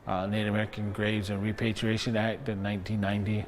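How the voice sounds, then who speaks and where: A man speaks as a museum director in a casual interview. His voice is fairly low with very little melodic movement. He talks haltingly, pausing between phrases. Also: completely flat delivery, a little hiss or hum.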